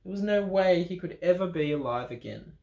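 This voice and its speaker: A man reading a children's Bible story aloud in an animated way, with dramatic pauses, expressive emphasis and playful asides. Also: sits high, vocal fry, highs cut off. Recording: roomy, echoing room sound.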